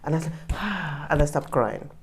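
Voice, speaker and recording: A woman's voice: low voice, expressive, measured pace, a little hiss or hum. A woman from Trinidad, telling anecdotes as a guest in a casual interview. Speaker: podcast guest.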